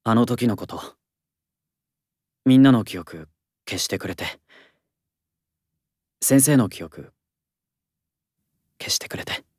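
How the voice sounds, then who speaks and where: An actor playing an earnest, reserved schoolboy in an anime audio drama, speaking softly and hesitantly. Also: male voice, on the low side, expressive, slow pace, pauses often, breathy edge, no room sound, clean studio sound, exaggerated voice. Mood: subdued, pleading.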